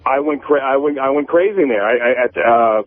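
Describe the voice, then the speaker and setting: A man's voice: mid-range voice, brisk. A man calling in to a radio talk show over the phone, rambling casually and personally, often stammering and repeating his words. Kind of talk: casual confessional chat. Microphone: telephone. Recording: tinny, band-limited phone line.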